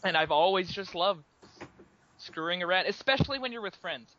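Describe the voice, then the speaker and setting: A Canadian man on a gaming podcast who sounds far younger than he is. His voice is bright and fairly high, and he chats in an upbeat, easy way. The audio is dull and lo-fi. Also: animated, normal speed, a little hiss or hum. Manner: youthful-sounding, upbeat and chatty. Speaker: podcast co-host. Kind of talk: casual gaming chat.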